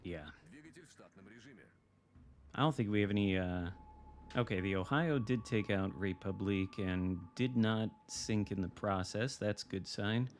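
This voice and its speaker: Low-voiced Michigan man streaming a game. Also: unhurried, long pauses, slight background noise. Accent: Michigan American. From United States. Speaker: live streamer. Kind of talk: casual live gameplay commentary. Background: video game artillery and gunfire.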